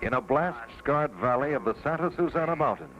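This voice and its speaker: Man narrating, swooping pitch, vintage sound. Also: expressive, brisk. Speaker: documentary narrator. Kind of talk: scripted narration. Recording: dull vintage film soundtrack, music behind. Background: music.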